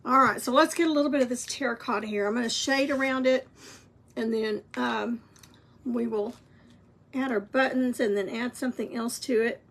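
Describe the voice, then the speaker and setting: A woman's voice: on the high side, fairly even intonation, moderate pace, fairly bright tone. A woman with a scratchy voice, teaching a live craft painting lesson in a chatty, encouraging way. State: scratchy voice, clearing throat. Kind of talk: live-streamed painting tutorial.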